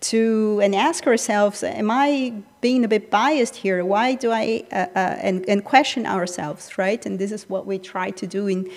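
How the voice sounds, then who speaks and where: A woman's voice: mid-range voice, measured pace, hardly any pauses. A woman academic speaking unscripted on a panel, reflective and hesitant, into a handheld microphone. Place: large hall, seated on panel stage. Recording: reverberant hall, handheld mic.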